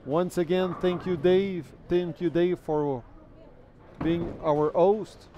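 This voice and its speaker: A man's voice: high-pitched, fairly even intonation, takes it slowly, dull audio, slight background noise. A man whose first language is French, doing live commentary on a bowling event. He talks in a chatty way, thanks viewers, and repeats words while he thinks. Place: bowling alley.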